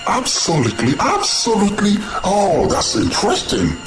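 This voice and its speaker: A man hosting a radio debate in a drama, brisk and keeping the guests in order. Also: high-pitched, huge pitch swings. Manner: brisk, interrupting to keep order. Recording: echoey room with music beneath.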